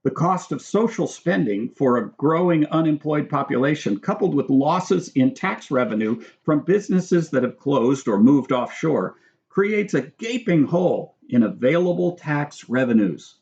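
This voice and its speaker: A man's voice: a little high, sing-song, unhurried, darkish tone. Dull-sounding recording of a man reading aloud. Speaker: author reading own book aloud. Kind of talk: scripted narration with brief spoken asides.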